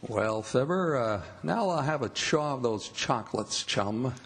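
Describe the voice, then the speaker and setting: A man acts a chummy pitchman announcer from 1940s radio comedy. His mid-pitched voice is husky, with a pally, salesmanlike delivery. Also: lively intonation, measured pace, a bit bright, exaggerated voice. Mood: teasing.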